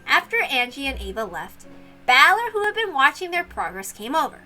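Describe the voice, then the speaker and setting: A woman's voice: high-pitched, sing-song, normal speed, bright, forceful tone, soft background music. A woman reading a visual novel aloud as narrator, breaking into casual, chatty asides.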